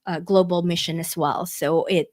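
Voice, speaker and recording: A woman's voice: fairly low pitch, steady tone, normal speed, a little mellow. A middle-aged woman from the Philippines hosting an online workshop, chatty, with many fillers and restarts, speaking into a computer mic. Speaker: workshop host. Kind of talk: casual online workshop presentation.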